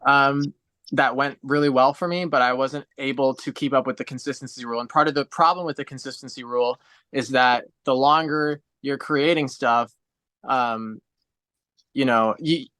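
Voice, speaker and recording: A man's voice: mid-range voice, fairly even intonation, moderate pace, pushed and bright, no echo. A man in his twenties on a video call, chatting casually and explaining things at length in an earnest way. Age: 20s. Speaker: guest on a livestream.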